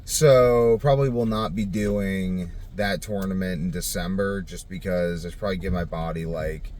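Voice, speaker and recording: A man with a low-pitched voice talks casually to his video diary. He rambles and thinks aloud, unscripted, over a faintly noisy home recording. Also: steady tone, normal speed, darkish tone. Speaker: vlogger.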